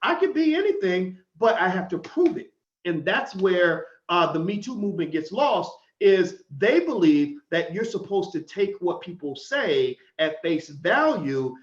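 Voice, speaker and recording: Man host, high voice, blunt heated commentary, roomy lo-fi sound. casting: outspoken show host. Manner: blunt, heated, profane, with many restarts. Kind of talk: opinionated talk show commentary. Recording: echoey room, dull lo-fi sound.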